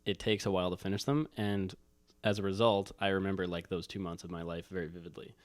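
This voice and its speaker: A man's voice: low-pitched, steady tone, quick pace, dry recording. A man co-hosting a casual, unscripted book chat, rambling as he thinks aloud. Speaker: book talk co-host.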